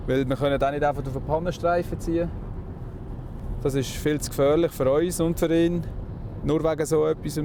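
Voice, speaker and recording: A man's voice: a little high, steady tone, a little fast. A man with a Swiss German accent, a motorway police officer explaining his job casually in a moving car. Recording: clear vehicle road noise.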